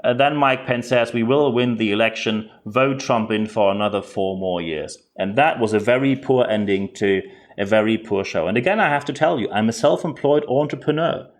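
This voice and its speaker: A man speaking English with a German accent delivers podcast commentary in an earnest, direct way. He often repeats words as he restarts a thought, and his pitch sits in an ordinary middle range. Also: moderate pace. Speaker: podcast host.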